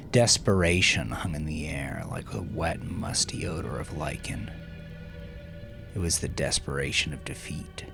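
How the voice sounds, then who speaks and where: A man narrating dark dramatic fiction in a brooding, theatrical way, with breathy hushed phrase endings. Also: rumbling low. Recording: music behind the voice. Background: music.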